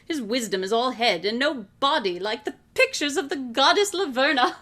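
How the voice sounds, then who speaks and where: An actress playing a brilliant, haughty woman detective in a radio drama, deliberate and drily amused. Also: high-pitched, expressive, sharp tone. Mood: lofty satisfaction. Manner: deliberate, ironic, lofty. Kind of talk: live radio drama acting.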